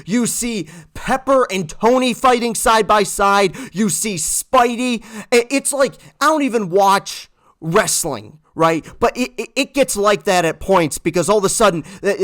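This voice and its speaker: A man reviewing a film in a casual, excited, rambling storytelling way, swearing freely as he goes. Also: high voice, normal speed. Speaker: movie reviewer.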